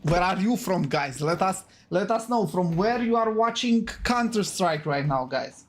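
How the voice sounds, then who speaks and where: A man's voice: high-pitched, animated, measured pace, a little hiss or hum. A man from Romania with a Romanian accent, hosting and casting a live esports stream. He talks to his viewers in a chatty way, repeats words and keeps adding "okay?" and "guys". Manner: hyping up the viewers. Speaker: esports caster and stream host. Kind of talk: solo live stream commentary.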